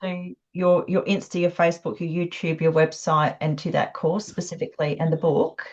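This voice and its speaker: A woman's voice: fairly low pitch, little pitch movement, moderate pace, a little mellow. A woman hosting a live online session, chatty and effusive with plenty of fillers, over a video call. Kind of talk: casual chat. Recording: dull lo-fi call audio.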